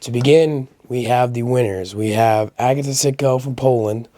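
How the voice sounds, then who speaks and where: A man hosting a casual solo video commentary, giving opinions conversationally, his voice a little raspy as he gets over a sickness. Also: medium pitch, normal speed, dark tone, no room sound. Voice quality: slightly raspy. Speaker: host of a powerlifting recap channel.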